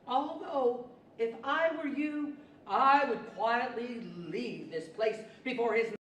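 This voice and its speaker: A woman playing a wry, clever talking horse with a chuckling, knowing delivery, in radio theater style. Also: fairly high pitch. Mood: mocking. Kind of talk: 1940s radio theater acting. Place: large library event room, live performance. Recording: echoey hall from far off, faint noise.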